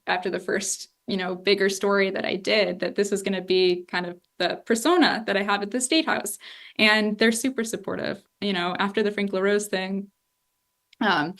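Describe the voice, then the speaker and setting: A woman in her twenties from Northeast Ohio, a political journalist speaking candidly and animatedly in a lecture room, with many asides like "you know". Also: medium pitch. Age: 20s. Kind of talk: public talk answering questions.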